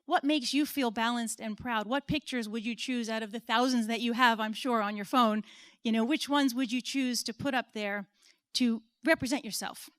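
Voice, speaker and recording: An American woman in her forties speaks to a room of listeners, earnest and personal, with a light laugh at her own expense now and then. Her voice sits a little high, and the sound is close and dry, with no room echo. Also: steady tone, moderate pace. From United States. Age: 40s. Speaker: conference speaker. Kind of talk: conference talk. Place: stage at an event.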